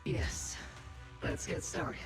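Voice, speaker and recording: An actor playing a solemn cult overseer in a video game, with a hushed, breathy voice and grave pronouncements. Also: male voice, drawn-out words. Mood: solemn, ominous. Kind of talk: dramatic video game voice acting. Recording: echoing voice over game music.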